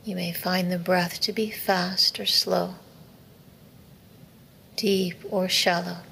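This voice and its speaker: A woman leads a guided relaxation at a very slow, measured pace. Her voice sits fairly low with a gravelly creak, and her phrases end softly on breath. She gives each instruction in a soothing way. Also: level tone, low-quality recording. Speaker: yoga nidra meditation teacher. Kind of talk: guided meditation. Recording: faint background noise.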